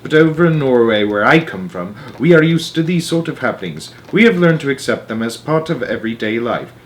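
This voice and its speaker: A man voicing a calm, matter-of-fact old grandmother with a sly streak, in a storybook. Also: mid-range voice, brisk, a little hiss or hum, lightly acted.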